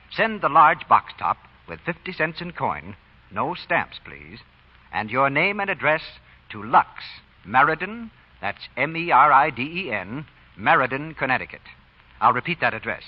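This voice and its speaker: Old timey radio announcer guy, emphatic, voice swings up and down, bit husky, muffled recording. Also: medium pitch. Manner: emphatic, formal enunciation. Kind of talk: 1940s scripted radio announcing and sponsor pitch.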